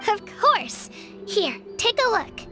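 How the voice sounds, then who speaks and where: A woman's voice: extremely high pitch, sing-song, takes it slowly, pushed and bright, faint music behind, full character voice. A woman playing an earnest, humble young girl warrior in a video game, with a youthful girlish voice and polite, eager delivery.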